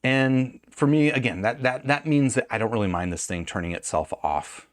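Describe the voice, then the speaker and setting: A man's voice: mid-range voice, normal speed, no room sound, clean studio sound. A man giving a casual hands-on product review, rambling and conversational as he thinks aloud. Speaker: gadget reviewer.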